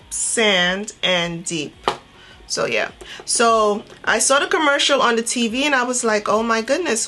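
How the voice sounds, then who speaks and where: A woman's voice: medium pitch, fairly bright tone. Chatty woman vlogger telling a story. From Trinidad and Tobago. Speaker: beauty vlogger. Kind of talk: casual chatty storytelling while doing makeup.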